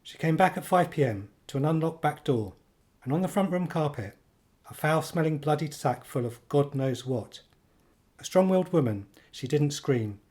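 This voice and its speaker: An actor narrating a radio play as a wry storyteller. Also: male voice, a little high, expressive, normal speed, darkish tone, natural voice. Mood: measured storytelling.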